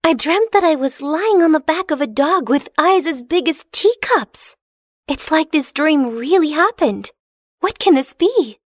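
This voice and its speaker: A woman's voice: sits high, expressive, a little slow, dry recording, clean studio sound. A woman playing a clever, determined young princess in a storybook tale, dramatic and resolute. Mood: puzzled wonder.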